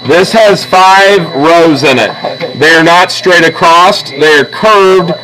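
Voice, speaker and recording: A man's voice: on the high side, takes it slowly, pushed and bright, rough recording. A man teaching a drawing class step by step, patiently and with frequent check-ins like "okay?". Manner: patient, checks in often with "okay?" and "all right?". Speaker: art teacher. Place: classroom with students present.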